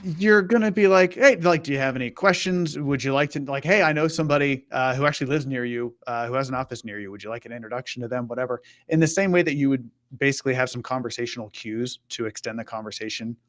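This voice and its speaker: A man's voice: medium pitch, quick pace, few pauses, a bit bright, dry recording. A man presenting on a live web show, talking through an on-screen walkthrough in an informal, conversational way and acting out example questions. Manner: informal, voices imagined customer questions as examples.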